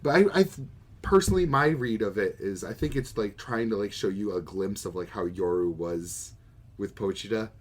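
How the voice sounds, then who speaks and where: A man's voice: fairly low pitch, normal speed. A man co-hosting a podcast, chatting casually and musing with plenty of swearing. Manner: opinionated, musing, swears freely. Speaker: podcast co-host. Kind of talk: casual chat about manga.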